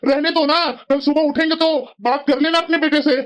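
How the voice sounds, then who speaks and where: A woman's voice: high-pitched, steady tone, a little fast, lightly acted. A woman voicing a grumbling but sensible elderly husband who scolds and reasons in a family cartoon. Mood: disapproving. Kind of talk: Hindi family cartoon voice acting.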